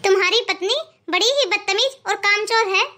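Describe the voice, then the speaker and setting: A woman voicing a complaining, sharp-tongued mother-in-law in an accusing cartoon moral-story style. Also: extremely high pitch, normal speed. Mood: scolding.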